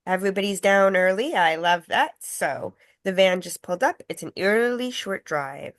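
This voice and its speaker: A woman acting a polite, earnest tour guide, measured and explanatory, in a film script read. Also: mid-range voice, expressive, no room sound, studio quality, close to an everyday voice. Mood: cheerful.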